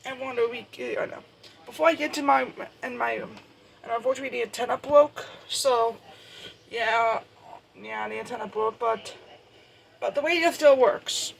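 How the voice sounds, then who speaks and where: A hobbyist talking casually and unscripted in a show-and-tell, rambling and halting, often self-correcting, in an amateur home recording. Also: mid-range voice, vocal fry, a little hiss or hum, poor audio. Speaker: hobbyist video creator.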